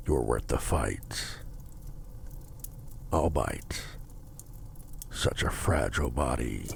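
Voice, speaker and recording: An extremely deep, heavily gravelly male demon, acted by a man for an ASMR roleplay, drawing out his words slowly. He is smug, possessive and menacing, with a formal, seductive way of bargaining. Faint noise sits behind the voice. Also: heavily acted. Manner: slow, deliberate, formal, domineering. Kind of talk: intimate ASMR roleplay acting.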